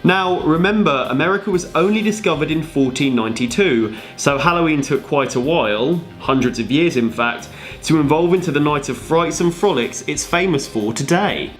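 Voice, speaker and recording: A man's voice: a little high, lively intonation, moderate pace, soft background music. A man co-presenting a scripted explainer with banter, playing it dry as the straight man. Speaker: video co-presenter.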